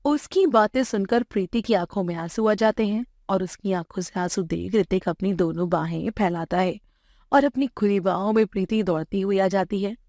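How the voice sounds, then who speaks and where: A woman narrates a simple moral bedtime story from a script. Her voice is mid-pitched and recorded very dry, with no room around it. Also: normal speed, mellow, no echo.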